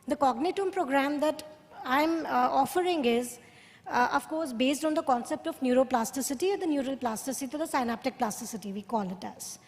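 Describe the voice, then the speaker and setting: High-voiced woman neuroscientist on a panel. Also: a little fast. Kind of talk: conference panel discussion. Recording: reverberant conference hall.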